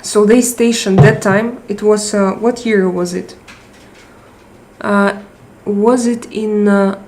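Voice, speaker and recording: This is a middle-aged woman with a Russian accent talking to her live-stream viewers. She talks slowly and stops often to restart or hunt for a word. A faint hiss sits under her voice from the tablet mic. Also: medium pitch, steady tone. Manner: halting, searching for words with many restarts and fillers. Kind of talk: casual live-stream chat. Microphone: tablet microphone.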